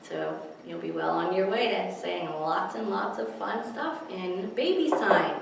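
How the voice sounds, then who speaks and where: A woman's voice: fairly low pitch, animated, unhurried, gravelly. A woman hosting a storytime for small children, teaching and reading aloud in simple, encouraging language. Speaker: children's library storytime host. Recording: echoey room, distant mic, faint noise.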